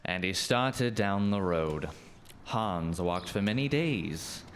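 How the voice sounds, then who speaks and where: A man narrating a spooky radio play in a measured, dramatic storytelling style. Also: on the low side, animated. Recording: faint background noise.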